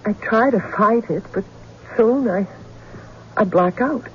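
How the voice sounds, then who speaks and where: A woman playing a tormented, haunted patient in a radio drama, speaking haltingly and intensely. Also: mid-range voice, lightly acted. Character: tormented patient describing an invasion of the mind. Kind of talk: old-time radio drama acting. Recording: dull, noisy radio drama recording.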